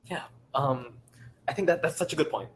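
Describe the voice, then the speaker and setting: A man's voice: a little high, lively intonation, normal speed. A man speaking earnestly and upbeat as a producer on a casual panel discussion. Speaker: audio drama producer and panelist. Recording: faint background noise.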